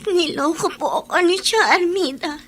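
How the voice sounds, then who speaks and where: A woman's voice: very high voice, moderate pace, a bit bright, soft background music. A woman playing a distraught, plaintive teenage trafficking victim in a Tagalog radio drama. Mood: tearful, betrayed.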